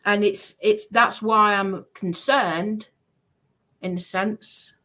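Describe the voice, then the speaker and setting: A woman giving casual commentary in a halting, deliberate way, repeating phrases for emphasis. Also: medium pitch, fairly even intonation, pushed and bright.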